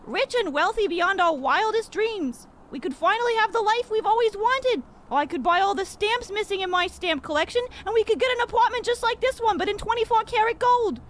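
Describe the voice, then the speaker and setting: A woman's voice: extremely high pitch, animated, fairly quick, few pauses. A woman voicing a greedy, melodramatic, condescending girlfriend of a boxer in a comic old-time radio play. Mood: dreamy, greedy.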